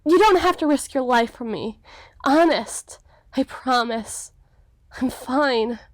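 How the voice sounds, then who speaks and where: A woman's voice: high-pitched, expressive, takes it slowly, no echo. A woman playing a young, melancholy, self-doubting storybook damsel in an ASMR fantasy roleplay, with theatrical, hesitant, old-fashioned formal phrasing. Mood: flustered pleading.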